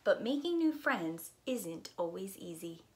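A middle-aged woman reading a picture book aloud as a preschool teacher, deliberate and expressive. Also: mid-range voice. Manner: slow, deliberate, animated storyteller delivery. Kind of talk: expressive storytime read-aloud. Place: small indoor room with bookshelf.

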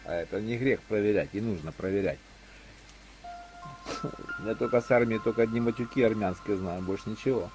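Low gravelly man on voice chat. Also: fairly quick, long pauses, over music, low-quality recording. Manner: rambling, searching for words. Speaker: chat participant. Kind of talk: casual religious discussion. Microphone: walkie-talkie voice chat app.

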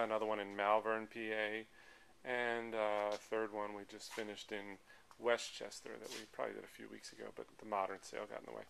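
A man's voice: medium pitch, a bit bright. An American man giving a casual, off-the-cuff walk-through as an auction presenter, listing items in a rambling way as he walks, recorded on a handheld camera. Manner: rambling item-by-item listing while walking. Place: large indoor auction hall full of tables. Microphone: handheld camera, held by speaker behind it. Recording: handheld camera audio with clear background noise.

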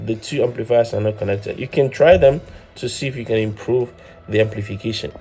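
A man's voice: mid-range voice, fairly quick, warm tone, husky, faint music behind. A man in his thirties giving an informal hands-on tutorial as host, explaining step by step and often repeating words as he goes. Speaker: host of an electronics DIY channel.

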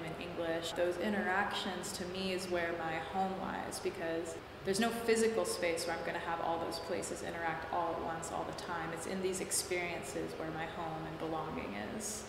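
A young woman artist speaking in a thoughtful, academic, unhurried way in an interview, recorded in a gallery. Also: fairly low pitch. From United States. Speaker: artist interviewed about her show. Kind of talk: reflective interview answers. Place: gallery with hard walls. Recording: echoey gallery room, noisy.

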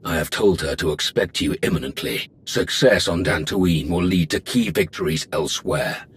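Stern, assured dark lord played by a man for a game; low-pitched, grave authority. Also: moderate pace, slight background noise, natural voice. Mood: grave resolve. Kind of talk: video game voice acting.

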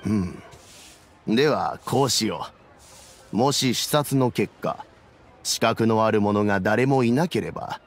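Weary, low-voiced old statesman. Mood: calm, considered. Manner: measured, old-fashioned elder's phrasing. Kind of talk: video game dialogue acting.

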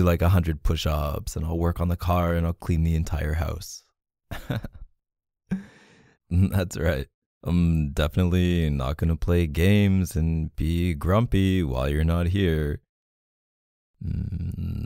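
A man's voice: low voice, gravelly, mellow, no room sound, clean studio sound, exaggerated voice. A man playing a possessive, sulky, protective young boyfriend in an intimate ASMR roleplay, murmuring hesitantly. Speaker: roleplay voice actor.

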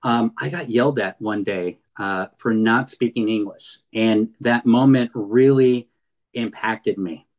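A man's voice: fairly low pitch, measured pace, a little mellow. A man in his fifties from California's San Fernando Valley, giving a heartfelt webinar talk over a video call with many fillers and restarts. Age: 50s. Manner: earnest, frequent fillers and restarts. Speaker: guest speaker. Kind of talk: webinar presentation.

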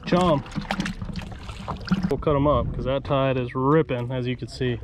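A man in his thirties hosting a casual fishing vlog from an open boat, coaching his friends and shouting encouragement, caught on an action camera. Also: medium pitch, steady tone, unhurried. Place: open boat on the bay outdoors. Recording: outdoor wind and water noise. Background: boat and water noise.

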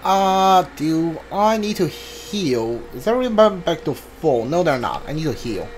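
A man's voice: a little high, sing-song, unhurried, rough recording. A man giving casual video game commentary, musing aloud in short reactions as he plays. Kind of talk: casual let's play commentary. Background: game music.

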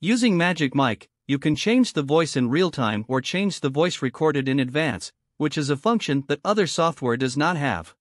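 A man reading scripted narration for a promotional software tutorial. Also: a little high, lively intonation, normal speed, hardly any pauses, no room sound, clean studio sound.

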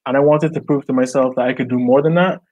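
A young man from Barbados speaks in a mid-pitched voice, earnest and thoughtful, circling back and restarting his phrases as he works out his thoughts. He is on a video call through earbuds from a small room at home. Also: steady tone, quick pace, phone-quality sound. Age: young adult. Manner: earnest, reflective, many likes and restarts. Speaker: artist guest. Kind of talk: casual video call interview.

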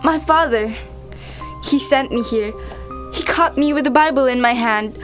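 Woman acting high-voiced, steadfast young prisoner. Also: a little slow, a bit bright, soft background music. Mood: sorrowful, earnest. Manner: earnest. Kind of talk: amateur student radio drama acting.

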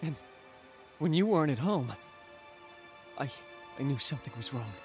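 An actor playing a protective, tender boyfriend in an audio drama, soothing and urgent. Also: male voice, fairly high pitch, animated, normal speed, darkish tone, faint music behind, natural voice. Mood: urgent tenderness.

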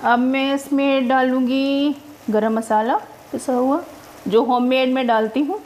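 High-voiced woman narrating her cooking. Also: steady tone, unhurried, darkish tone, a little hiss or hum. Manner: chatty, homely, explains each step. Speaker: cooking vlogger. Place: home kitchen.

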